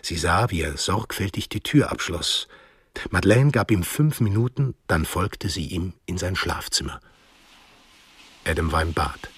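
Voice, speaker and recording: Very husky, low male narrator. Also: expressive, measured pace, a bit bright, dry recording, studio quality. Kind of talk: scripted literary narration in a radio play.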